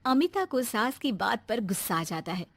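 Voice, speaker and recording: A woman's voice: a little high, big pitch swings, normal speed, no echo. A woman narrating a scripted moral story as its storyteller.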